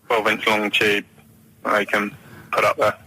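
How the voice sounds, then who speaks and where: A man's voice: on the low side, completely flat delivery, measured pace, bright, forceful tone, slightly rough, no room sound, poor audio. A man in his twenties phoning in to a radio show, explaining things casually and matter-of-factly. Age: 20s. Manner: matter-of-fact. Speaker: radio phone-in caller, medical student. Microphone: telephone.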